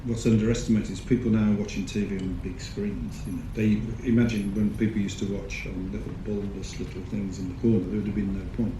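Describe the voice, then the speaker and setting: Measured low-voiced man on stage. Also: flat delivery. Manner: thoughtful, measured explanations. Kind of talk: panel Q&A answers. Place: large screening hall. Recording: echoey hall with audience noise.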